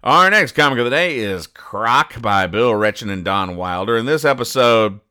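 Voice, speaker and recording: A man hosting a podcast, reading out and explaining comic strips in a deadpan, mock-serious manner. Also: mid-range voice, moderate pace, smooth, no echo, clean studio sound. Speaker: podcast host.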